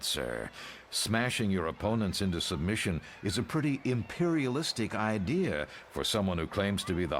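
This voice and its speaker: Male actor as loyal young protégé, low voice with lively swings, earnest and questioning, faint noise. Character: earnest, loyal young man challenging his mentor. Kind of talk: motion comic voice acting.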